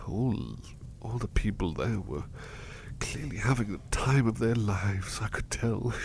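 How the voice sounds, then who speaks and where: A man voicing a sickly young male butler, speaking in a soft, whispery, courteous way close to the mic while resting in bed unwell. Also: lowish voice, vocal fry, slight background noise, heavily acted. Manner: courteous and formal, hesitant restarts. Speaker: voice actor. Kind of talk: ASMR roleplay.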